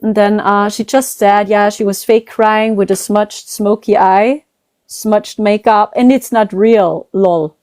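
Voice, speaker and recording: A woman's voice: medium pitch, fairly even intonation, slow pace, darkish tone, no echo. A woman with a German accent, a native German speaker, chatting casually as a psychic medium host and rambling with frequent "you know". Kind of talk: casual conversation.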